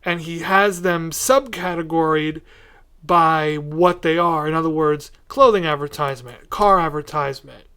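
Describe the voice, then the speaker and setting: A man's voice: a little high, normal speed, bright, forceful tone, smooth. A man hosting a podcast, chatting casually with a guest in an interview style. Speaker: podcast host.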